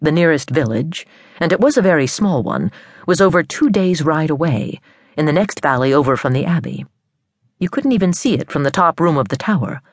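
A woman narrating an audiobook of fiction in a measured, storybook manner with careful phrasing. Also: low-pitched, expressive, dry recording, clean studio sound.

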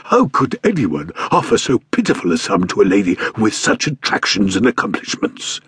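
A man voicing a stout, jovial, excitable British gentleman in an audiobook, effusive and blustering. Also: on the low side, moderate pace, slightly rough, no room sound, studio quality, full character voice. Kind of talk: audiobook character acting.

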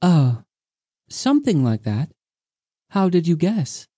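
Male reader doing a higher, gentle, whimsical ageless sea maiden in a storybook reading, dry close sound. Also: big pitch swings, slow pace, husky, no echo, full character voice. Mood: wistful. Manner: soft, precise, lilting. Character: mysterious ancient sea woman, young-seeming, whimsical.

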